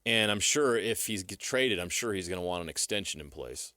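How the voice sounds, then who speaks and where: A man co-hosts casual sports talk radio in a fairly low voice. His pitch moves in a lively way as he questions and explains matter-of-factly, recorded close and dry in a studio. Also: fairly quick, no room sound. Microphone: close studio mic.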